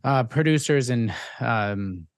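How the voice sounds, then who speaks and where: A man's voice: medium pitch, expressive, slow, clean tone. A man hosting a podcast, talking in a casual, reflective way and hesitantly searching for his words. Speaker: podcast host.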